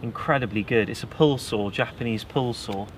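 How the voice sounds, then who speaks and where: A young British man chatting casually about outdoor gear in a woodland, keen and relaxed. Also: mid-range voice, normal speed. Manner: relaxed, enthusiastic gear talk. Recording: outdoor camera audio, clear background noise.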